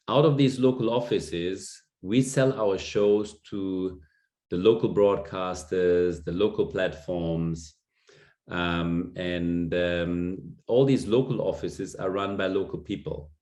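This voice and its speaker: A man's voice: low-pitched, a little mellow. A man with a German accent giving a masterclass presentation, hesitant with many ums and restarts. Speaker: lecturer. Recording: echoey room.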